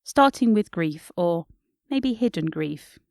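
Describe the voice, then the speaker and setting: Woman, podcast host, low voice, reflective scripted narration, dry close sound. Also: animated, slow, darkish tone, no echo.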